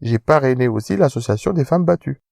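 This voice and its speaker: A man's voice: medium pitch, lively intonation, brisk, creaky, darkish tone, no echo, studio quality. A man voicing an indignant, snobbish bourgeois mother in a fiction podcast, scolding dramatically. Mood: defensive, pained.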